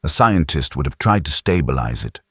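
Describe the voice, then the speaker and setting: A man's voice: extremely low pitch, sing-song, moderate pace, a bit bright, husky, no echo, studio quality. A man narrating a science fiction audiobook in a dramatic, scripted style.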